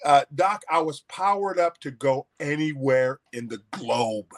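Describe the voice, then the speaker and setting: A man's voice: a little high, expressive, measured pace. A man, an author guest, telling a personal story in a folksy, anecdotal way with many restarts. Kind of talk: storytelling in an interview.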